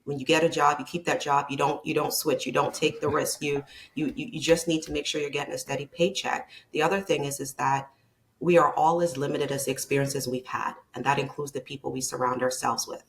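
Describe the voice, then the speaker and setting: A woman's voice: low-pitched, little pitch movement, a little fast, slight background noise. Woman from Barbados, emphatic podcast guest. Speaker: guest, leadership consultant. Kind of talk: conversational interview.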